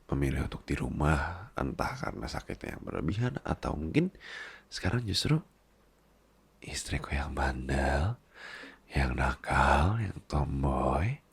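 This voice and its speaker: A man's voice: very deep voice, creaky, slightly rough, full character voice. A man playing a doting, worried boss husband who is clingy like a big baby, coaxing and teasing tenderly in an intimate ASMR roleplay. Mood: affectionate teasing. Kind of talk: intimate ASMR boyfriend-style roleplay in casual talk.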